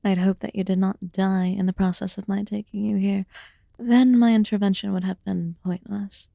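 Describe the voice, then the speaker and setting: A woman playing an ancient, aloof fae in a fantasy ASMR roleplay, murmuring softly and formally with some whispered phrases. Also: medium pitch, little pitch movement, gravelly, no room sound, studio quality, natural voice. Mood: aloof, commanding. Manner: slow, deliberate, archaic formal wording. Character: ancient aloof female fae recluse, haughty yet quietly caring.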